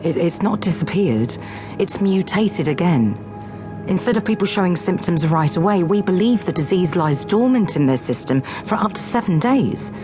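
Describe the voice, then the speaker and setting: A woman's voice: on the low side, expressive, normal speed, music in the background, lightly acted. A woman acting in a radio drama as a driven, frustrated infectious disease doctor, speaking insistently and articulately. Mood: grave, explanatory.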